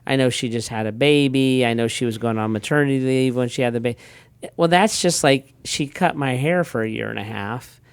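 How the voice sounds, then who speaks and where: A middle-aged pastor talks in an easygoing, rambling way, often restarting sentences and acting out little exchanges. His voice is smooth and resonant, recorded close and dry. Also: medium pitch, brisk, no echo. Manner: relaxed storyteller, frequent restarts and quoted asides. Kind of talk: casual conversational talk.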